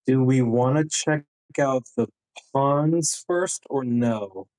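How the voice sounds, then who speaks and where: A man's voice: medium pitch, takes it slowly, a little mellow, low-quality recording. A man chatting casually as a player in a tabletop roleplaying game. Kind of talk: casual chat.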